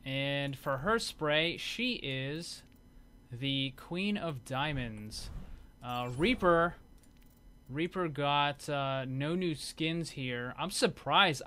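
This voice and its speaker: A man's voice: a little high, a bit bright. A man giving casual, off-the-cuff commentary as host of a gaming showcase video.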